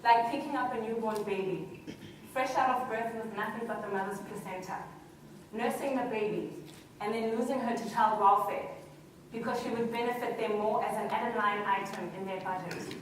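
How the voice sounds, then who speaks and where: A woman's voice: fairly high pitch, normal speed. A woman delivering a prepared personal speech in an earnest, formal manner. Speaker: conference speaker, community development worker. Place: large echoey hall. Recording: very echoey hall, distant mic, faint noise.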